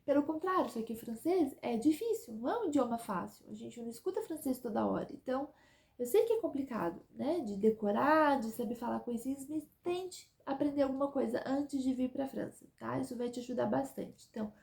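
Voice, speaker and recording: A woman's voice: high-pitched, lively intonation, brisk, vocal fry, a little mellow. A woman giving chatty advice to her viewers in a casual vlog, often checking in with "tá?" and "né?". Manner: chatty, repeats "tá?" and "né?". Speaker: vlogger living abroad.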